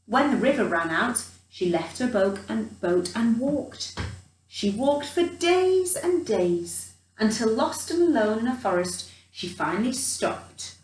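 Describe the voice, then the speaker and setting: A roomy recording, set back from the mic, carries a woman's storytelling voice. She reads for children with theatrical emphasis and a slow, swooping delivery, dropping in chatty asides. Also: on the high side, a little hiss or hum. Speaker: children's storyteller in character as a Christmas elf. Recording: roomy echo, distant from the mic.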